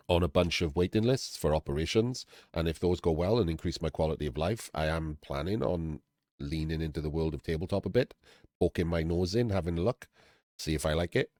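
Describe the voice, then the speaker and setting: Very deep-voiced Welsh man, chatty. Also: darkish tone, no room sound, studio quality. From Wales. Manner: candid, chatty, frequent fillers and throat clearing. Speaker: streamer and channel host. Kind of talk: casual talk to camera-less audience.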